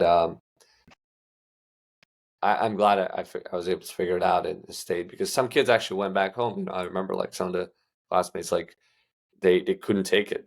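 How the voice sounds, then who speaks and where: Deep gravelly young man, easygoing. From Uzbekistan. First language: Russian. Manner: loose, filler-heavy, many restarts. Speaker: guest entrepreneur. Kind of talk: casual interview answers.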